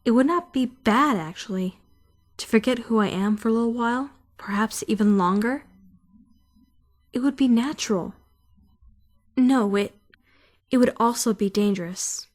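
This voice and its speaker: A woman's voice: fairly high pitch, normal speed, pauses often, close to an everyday voice. A woman playing an anxious, brooding fourteen-year-old schoolgirl who narrates her self-doubting thoughts in a visual novel fandub. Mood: pensive, uneasy. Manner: introspective, questioning, self-critical. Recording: music behind the voice.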